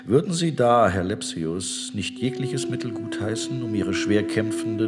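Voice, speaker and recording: An actor playing a self-righteous Ottoman minister in a radio play, speaking in a measured, lecturing, dismissive way. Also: low-pitched, lightly acted. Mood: cold. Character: cold self-righteous male Ottoman statesman. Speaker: government minister.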